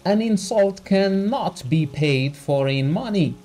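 A man reading a story aloud, voicing a furious, embittered country doctor who shouts with contempt. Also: high voice, expressive, takes it slowly, soft background music, pitched above the performer's own voice, exaggerated voice. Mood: contemptuous. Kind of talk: audiobook character reading.

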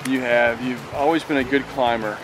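A man's voice: medium pitch, fairly even intonation, moderate pace, loud music behind the voice, poor audio. A middle-aged man, the vlogger's father, chatting fondly with his daughter outdoors in a park.

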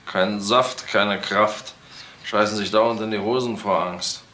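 A man's voice: lowish voice, steady tone, slow pace, sharp tone. An actor playing a brutal, domineering middle-aged ex-convict father in a crime radio play, taunting and coarse. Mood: menacing contempt. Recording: roomy radio play with faint noise.

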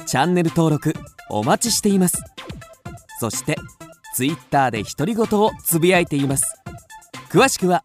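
A woman's voice: deep voice, expressive, moderate pace, pauses often, a little mellow, soft background music. A woman reading a scripted promotional announcement.

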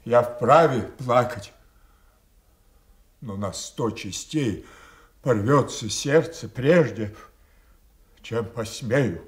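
A man's voice: medium pitch, extremely slow, long pauses. An actor playing a proud, wounded old king close to madness in a classical radio tragedy. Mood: anguished, breaking. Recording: noisy old radio play recording.

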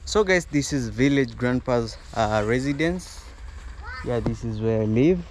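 A young Kenyan man filming a casual vlog outdoors in a rural yard, speaking to his viewers in a relaxed, low-key way. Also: a little slow. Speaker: vlogger. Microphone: small clip-on mic. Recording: outdoor noise behind the voice.

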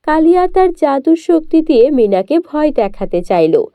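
A woman's voice: high voice, very animated, normal speed, dry recording, phone-quality sound. A woman giving scripted narration of children's cartoon fairy tales.

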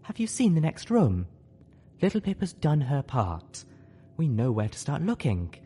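A man voicing a gentle, earnest lady unicorn with firm conviction in an audiobook. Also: fairly high pitch, wildly swooping pitch, measured pace, breathy edge, long reverb tail, voice pushed up, full character voice. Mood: sad but resolute.

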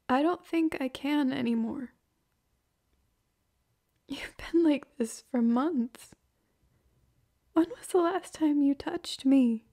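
A young woman playing a hurt, heartbroken girlfriend in an emotional, halting ASMR roleplay monologue. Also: sits high, gravelly, dark tone, heavily acted.

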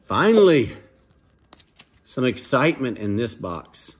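A man casually commenting to viewers while opening trading card packs at a dining table at home, in a relaxed, chatty way. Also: medium pitch, slow pace, husky. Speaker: card breaker, channel host.

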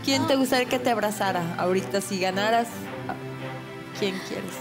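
A woman's voice: mid-range voice, normal speed. A middle-aged woman judging a talent show, speaking in a tender, emotional manner. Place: judges' desk in large TV studio. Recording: live studio with music and crowd.

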